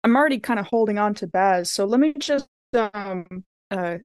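A woman's voice: mid-range voice, expressive, moderate pace, darkish tone, clean tone, no room sound. Woman playing a tabletop game, dry sound. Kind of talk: casual, playful game chat.

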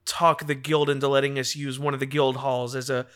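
A man's voice: mid-range voice, little pitch movement, fairly quick, a bit bright. A man playing a tabletop game in character, rambling and apologetic with frequent stammering restarts. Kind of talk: in-character roleplay chat.

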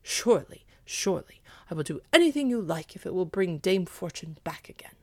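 A woman doing Blackfoot, a gruff excitable dog. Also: on the low side, fairly quick, dry recording. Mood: eager. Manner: growling, loud and excitable. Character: gruff loyal male dog, eager and hungry.

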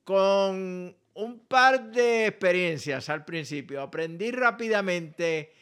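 A man with a Puerto Rican accent, a pastor and ministry director delivering a scripted message slowly and deliberately. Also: high voice, fairly bright tone, smooth. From Puerto Rico. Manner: slow, deliberate, spells out contact details carefully. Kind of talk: scripted radio-style message.

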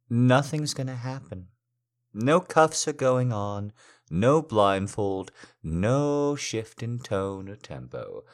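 A man playing a silver-tongued, adoring lover in an intimate erotic audio roleplay, teasing and gently commanding in drawn-out, breathy phrases. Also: sits low, heavily acted. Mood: coaxing. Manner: flowery, seductive, drawn-out phrasing with breathy whispers.

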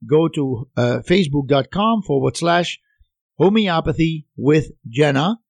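A man with a foreign accent hosting a radio interview, talking in a halting way with many restarts. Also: a little high, lively intonation, vocal fry, no echo. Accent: foreign accent, not specific. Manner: hesitant, many restarts and fillers. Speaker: radio show host.

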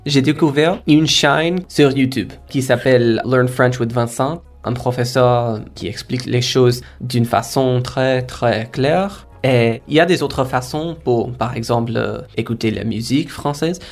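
A young man from India whose first language is Korava gives an earnest, reflective personal account. His voice is gravelly and sits at a middle pitch. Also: measured pace, hardly any pauses, faint music behind. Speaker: language learner and teaching assistant.

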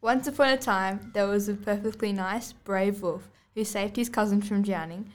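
A woman's voice: mid-range voice, normal speed. Young schoolgirl reading a storybook aloud. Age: child, about 11 to 12. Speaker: student narrator and podcast co-host. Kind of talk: scripted storybook narration, read aloud.

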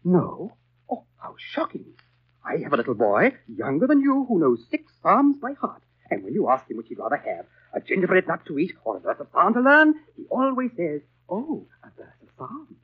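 An actor playing a pompous, sanctimonious school overseer, preaching sternly in 1930s radio drama style. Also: male voice, fairly high pitch, wildly swooping pitch, normal speed, breathy edge, heavily acted. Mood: shocked, preachy. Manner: pompous, preachy, exaggerated swoops. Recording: muffled vintage 1930s radio recording.

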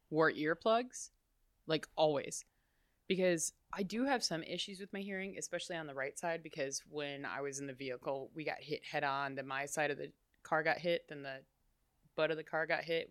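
A young adult woman talking as an interview guest, loud and chatty, laughing often. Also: low-pitched, normal speed, no room sound, clean studio sound. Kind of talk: casual interview chat.

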